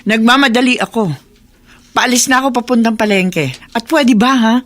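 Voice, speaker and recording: A woman's voice: a little high, moderate pace, natural voice. A woman playing a hardworking, fed-up widowed shopkeeper in a radio drama, exasperated and pleading. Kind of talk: Tagalog radio drama acting.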